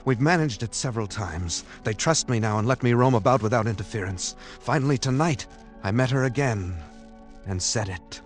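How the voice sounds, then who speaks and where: Actor as anxious lovesick man, mid-pitched, highly animated, melodramatic, vintage radio drama, music behind. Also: moderate pace, natural voice. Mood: anguished narrating. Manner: intense, melodramatic. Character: earnest, anxious, lovesick man trapped among strangers. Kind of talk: old-time radio drama acting.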